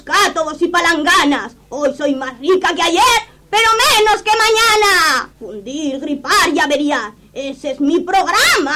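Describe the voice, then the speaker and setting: A woman's voice: very high-pitched, background noise. A woman voicing an ugly, greedy, wicked electric goblin witch from a children's puppet show, declaiming in theatrical rhymes with evil cackles. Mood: greedy delight.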